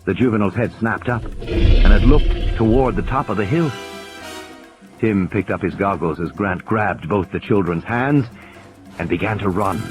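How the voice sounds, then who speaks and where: A man's voice: fairly low pitch, normal speed. A man reading dramatic scripted narration for an audiobook, with music behind him.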